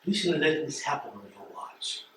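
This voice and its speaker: A man acting a blunt postal carrier in a stage play, in a fairly high male voice. He lectures emphatically and with disappointment. The voice rings in a very echoey space, some way from the mic, with faint noise. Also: animated, normal speed, far from the mic, natural voice. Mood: stern, lecturing. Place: theatre stage.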